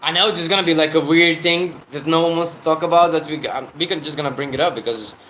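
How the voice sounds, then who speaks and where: Man in his thirties, a travel vlogger chatting on a livestream: rambling, restarts a lot, slightly higher voice, faint hiss behind. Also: fast, bright, forceful tone. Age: 30s. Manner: rambling, with frequent restarts and fillers. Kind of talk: casual livestream chat.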